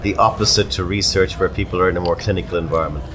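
A man with an Irish accent hosting a casual pub chat, chatty and full of animated anecdotes. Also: sits low, rapid-fire. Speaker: podcast host.

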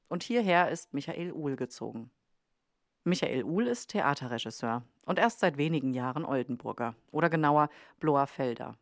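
A woman's voice: deep voice, no room sound, clean studio sound. A woman reading scripted podcast narration as the host.

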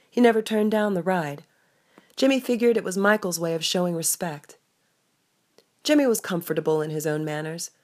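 A woman narrates fiction in a low-pitched voice. She is the author, reading her own book aloud in audiobook style. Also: a little mellow.

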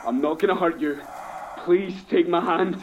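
A man's voice: high-pitched, normal speed, dull audio, slight background noise, close to an everyday voice. A man acting in a horror radio play as an anxious, damaged stranger, urgent and pleading, stammering under threat. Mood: nervous pleading.

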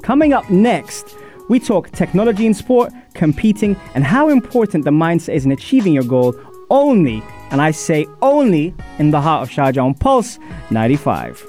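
An upbeat male radio presenter with a fairly high, swooping voice. He talks in an animated, friendly way to a guest in the studio, with faint music playing under him. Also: moderate pace. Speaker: radio show host. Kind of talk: radio talk show interview.

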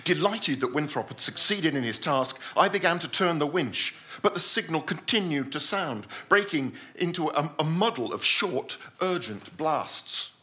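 Guy doing a stuffy scientist telling a grave sea story, very animated pitch, crisp edge to the voice, formal and theatrical. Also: moderate pace, hardly any pauses. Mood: grave, measured. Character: pompous, composed male scientist narrating a sea tragedy. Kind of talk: dramatic live short-story reading.

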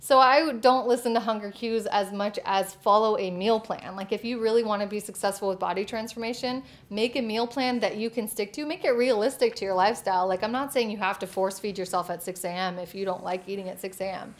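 A young woman co-hosting a casual fitness question-and-answer chat, talkative and quick to laugh. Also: mid-range voice. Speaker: co-host answering viewer questions.

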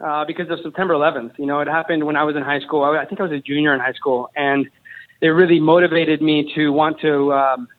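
A man's voice: a little high, steady tone, fast talker. A man in his thirties from Mexico, a company founder and Army veteran, telling his story as an enthusiastic, jokey guest. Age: 30s. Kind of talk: casual interview chat. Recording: tinny phone-line audio.

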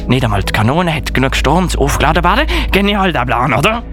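A man's voice: fairly high pitch, very animated, fast talker, music in the background. A man playing a gloating, villainous grey elf in a children's Christmas audio play, theatrical and prone to cackles and shrieks. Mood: gloating, scheming.

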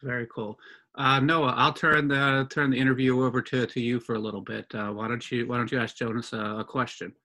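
Bright-voiced male podcast host. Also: mid-range voice, flat delivery. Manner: friendly, slightly halting when asking questions. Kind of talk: podcast intro and interview.

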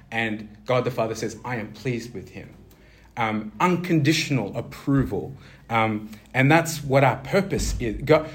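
Guy preaching casually, lots of ums and restarts, checks in with the crowd. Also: medium pitch. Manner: conversational, many fillers and restarts, asks the audience questions. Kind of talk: informal sermon teaching.

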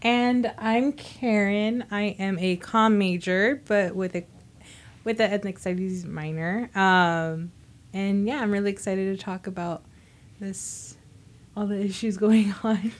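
A woman's voice: medium pitch, fairly even intonation, unhurried. A young woman, sick at the moment, chatting on a podcast and often searching for her words. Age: young adult. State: sick, under the weather. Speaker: podcast co-host. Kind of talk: casual chat.

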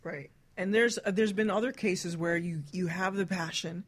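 A woman's voice: fairly low pitch, normal speed, slightly rough. A woman hosting a casual, frank talk show, putting questions to the panel and steering the chat. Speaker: radio talk show host.

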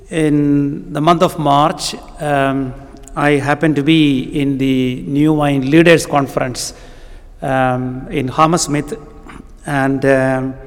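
A middle-aged man from Kerala, India, with a Malayali Indian accent and Malayalam as his first language, preaching a sermon as a guest, halting with frequent ums. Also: mid-range voice. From Kerala, southern India. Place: church with long reverb. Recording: reverberant church hall.

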